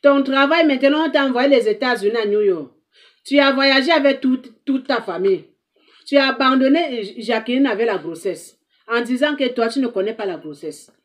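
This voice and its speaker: Woman lecturing her viewers, kinda high voice, scolds and repeats stuff a lot. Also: lively intonation, normal speed. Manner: emphatic, scolding, repeats herself. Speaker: advice-giving host. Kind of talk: moralizing monologue to viewers.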